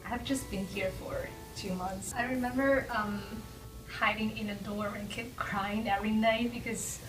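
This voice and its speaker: A young woman from China, a college student, speaking in a halting, emotional way. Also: mid-range voice, fairly even intonation. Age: young adult. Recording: roomy, distant mic, music underneath.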